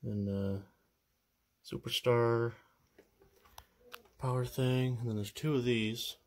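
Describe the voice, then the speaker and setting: A man's voice: medium pitch, steady tone, slow. A young man casually walking through his radio collection on camera, talking in a hesitant, unsure way with lots of fillers. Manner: unsure, hesitant, many fillers and trailing phrases. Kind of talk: casual show-and-tell walkthrough.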